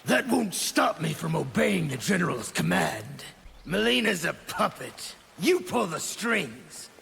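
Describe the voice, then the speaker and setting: An actor playing a fierce, defiant, zealously loyal soldier in a video game, gravelly and aggressive. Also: male voice, on the high side, very animated, unhurried, big-room sound, slight background noise, heavily acted. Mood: accusing. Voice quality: gravelly, forceful. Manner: aggressive, accusing.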